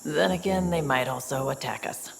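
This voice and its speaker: Low-voiced tough mercenary big sister. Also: female voice, normal speed, vocal fry, background noise. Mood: wary, practical. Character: tough pragmatic turian mercenary woman, protective older sister. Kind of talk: video game voice acting.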